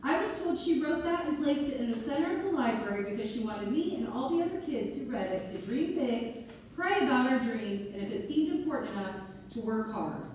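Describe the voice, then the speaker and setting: A woman's voice: on the high side, lively intonation, a little fast, a little mellow, close to an everyday voice. A woman playing a grateful, earnest townswoman in a community theater monologue. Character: grateful, earnest townswoman who runs a business. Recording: echoey hall from far off, faint noise.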